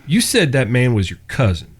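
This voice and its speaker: An actor playing a chivalrous, steely blind cowboy with an in an audio drama. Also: male voice, medium pitch, sing-song, breathy edge. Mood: probing. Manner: courteous, measured, earnest. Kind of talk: Western audio drama acting.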